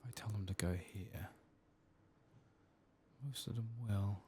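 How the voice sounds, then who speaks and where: Soft-spoken, low-pitched man, slow and hushed. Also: fairly even intonation, slight background noise. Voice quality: soft-spoken, often slipping into whispers. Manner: unhurried, quiet murmured asides. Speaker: host of a gameplay channel. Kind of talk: soft-spoken ASMR gameplay commentary.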